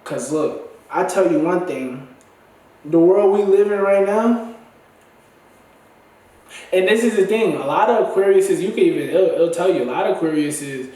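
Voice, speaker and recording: A man's voice: high voice, normal speed, long pauses, mellow. Congested man in an echoey room. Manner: loose, slangy, restarts often. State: congested, dry throat. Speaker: vlogger. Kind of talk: casual rambling monologue. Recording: very echoey room, mic at a distance.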